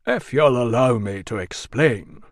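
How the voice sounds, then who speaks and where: A man voicing a dignified middle-aged guild representative with a rich bass voice and a measured, formal manner. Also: expressive, no room sound, clean studio sound.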